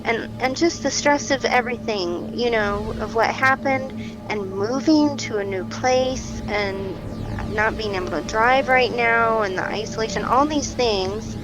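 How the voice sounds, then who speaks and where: A woman playing an encouraging, practical clinician in a naturalistic audio drama, talking in a reassuring, gently coaxing, conversational way. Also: fairly high pitch, unhurried, over music, lightly acted, poor audio. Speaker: doctor or therapist.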